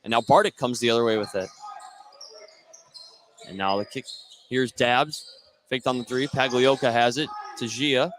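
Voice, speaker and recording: A man's voice: mid-range voice, steady tone, moderate pace, long pauses, a bit bright, a little hiss or hum. An American man doing play-by-play radio commentary of a basketball game, following the action in short running phrases. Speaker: play-by-play sports announcer. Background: basketball game in a gym.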